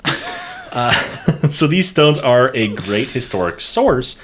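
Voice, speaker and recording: A man's voice: mid-range voice, very animated, slow, a bit bright, a little hiss or hum. A man co-hosting a casual history podcast, presenting the topic with lots of fillers, restarts and self-corrections.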